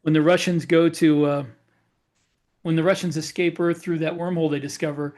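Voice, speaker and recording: A man's voice: fairly high pitch, level tone, a little mellow. A man co-hosting a casual podcast, asking pointed questions, jumping in and laughing easily. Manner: questioning, quick to laugh, jumps in. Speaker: podcast co-host, author.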